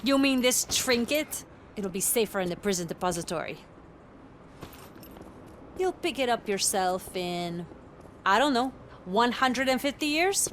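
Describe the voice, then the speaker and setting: A woman's voice: fairly high pitch, expressive, unhurried, pauses often, a little hiss or hum. A woman playing a sardonic police officer in a video game, with a dry, mocking manner.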